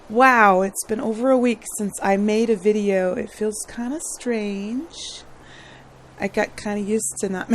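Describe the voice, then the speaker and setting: A woman with a hoarse, slightly worn voice talks casually over her gameplay, rambling from one thought to the next. She laughs easily and keeps clearing her throat. A faint hum of room noise sits behind her. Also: mid-range voice. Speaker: gaming channel host.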